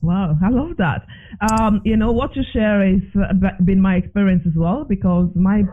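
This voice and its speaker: High-voiced male interviewer, dull recording. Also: normal speed. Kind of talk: conversational interview. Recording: dull, band-limited radio-like sound.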